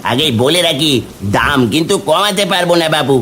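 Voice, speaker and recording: A man's voice: fairly high pitch, expressive, a little fast, pushed and bright. A man voicing a stubborn, defensive village vegetable seller in a cartoon, argumentative and Bengali-accented. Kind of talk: cartoon dialogue acting.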